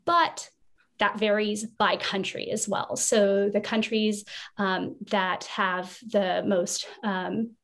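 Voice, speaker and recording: Woman, podcast host, gravelly edge, mid pitch, explanatory. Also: takes it slowly, a bit bright. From United States. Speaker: podcast host, occupational therapist. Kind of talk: podcast panel discussion.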